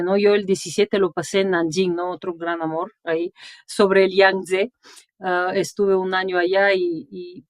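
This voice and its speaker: A woman's voice: fairly low pitch, no echo. A woman in her forties from Bulgaria, speaking as an interview guest. She tells stories animatedly, tags nearly every phrase with a questioning "no", and laughs as she talks. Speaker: interview guest, sinologist and translator. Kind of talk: conversational interview storytelling.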